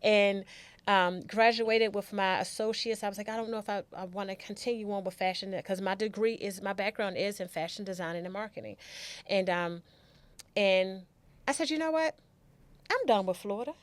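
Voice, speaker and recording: A woman's voice: medium pitch, normal speed, no echo. A middle-aged American woman telling a personal story as a podcast guest, chatting casually, acting out conversations and repeating phrases for emphasis.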